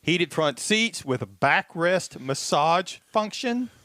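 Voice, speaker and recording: A man's voice: a little high, expressive, slow pace, pushed and bright, no echo. A man casually reviewing a car on a radio show, listing features with fillers.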